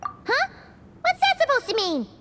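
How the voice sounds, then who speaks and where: A woman voicing a small, excitable childlike fairy companion in a game, with a squeaky, chatty, exclamatory delivery. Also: measured pace, very noisy, full character voice. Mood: puzzled. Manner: exclamatory, chatty, refers to herself by name. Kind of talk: animated game dialogue. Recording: loud music behind the voice.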